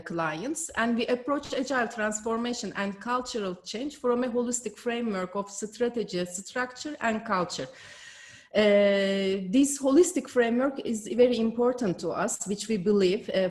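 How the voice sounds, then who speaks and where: Turkish-accented woman giving keynote. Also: a little high. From Turkey. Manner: careful, frequent hesitations. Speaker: keynote speaker. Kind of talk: online conference keynote. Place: home.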